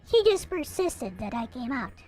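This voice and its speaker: Female narrator, high and lively, theatrical read-aloud with playful asides, music underneath. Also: moderate pace. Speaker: host reading a visual novel aloud. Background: background music.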